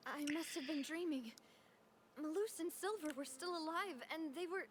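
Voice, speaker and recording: A woman's voice: extremely high pitch, normal speed. An actress playing a dazed, grief-stricken young noblewoman in a video game, in noisy captured audio. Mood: shaken.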